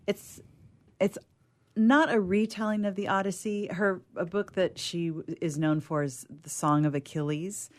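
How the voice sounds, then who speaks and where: A woman's voice: on the low side, steady tone, moderate pace. A woman speaking casually as a panelist in a book discussion.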